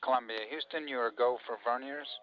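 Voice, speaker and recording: A man's voice: medium pitch, fairly even intonation, brisk, slight background noise. Male spaceflight controller, courteous clipped procedural delivery, heard over muffled vintage radio. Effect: radio transmission. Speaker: mission control radio communicator. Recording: muffled lo-fi 1980s radio link.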